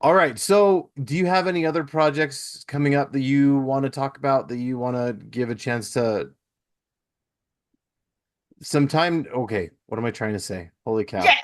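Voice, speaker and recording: A man's voice: mid-range voice, moderate pace. A man in his thirties hosting a casual interview, affirming his guest and often repeating and rephrasing his own points. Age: 30s. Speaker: podcast host, interviewer.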